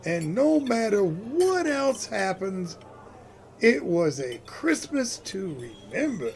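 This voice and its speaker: A man playing a cheerful folksy cafe owner who tells his story in a radio play, with a creaky voice. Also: high-pitched, sing-song, slow pace, slight background noise, exaggerated voice. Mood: warm storytelling.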